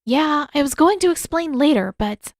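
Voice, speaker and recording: Woman voicing an earnest, high-pitched teenage girl. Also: lively intonation, normal speed, no room sound, studio quality. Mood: hasty, flustered. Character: teenage girl, earnest, impatient, easily flustered.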